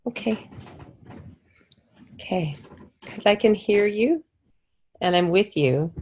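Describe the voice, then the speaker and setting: A woman host and coach on a video call, speaking in a gentle, soothing, reassuring way while coughing from a cold. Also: mid-range voice, animated, takes it slowly, breathy edge. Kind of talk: casual conversation over a call.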